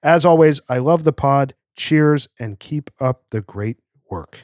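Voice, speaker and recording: A man's voice: mid-range voice, unhurried, a little mellow, no echo, studio quality. A man hosting a casual podcast, reading listener letters aloud in a joking way. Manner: reads letters aloud, jokey. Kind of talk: casual chat. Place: cigar lounge.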